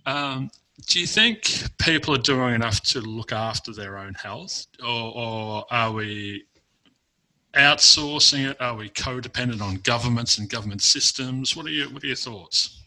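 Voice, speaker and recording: Very bright-voiced male interviewer. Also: medium pitch, measured pace, poor audio. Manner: engaged, frequent affirming murmurs. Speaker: interview host. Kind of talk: conversational interview.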